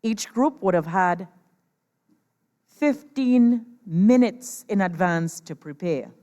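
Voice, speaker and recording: A woman's voice: mid-range voice, animated, slow pace, dark tone. Woman announcing in a reverberant hall. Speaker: host, master of ceremonies at a competition. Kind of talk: formal stage announcing. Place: large performance venue, over a PA system. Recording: echoey hall PA, long reverb.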